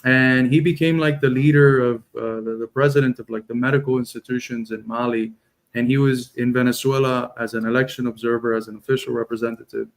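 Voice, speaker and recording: A Cuban man with a Cuban accent talks as a guest, telling a personal story in a halting, restart-filled way. His voice has a gravelly fry and stays fairly flat in pitch. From Cuba. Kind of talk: casual chat.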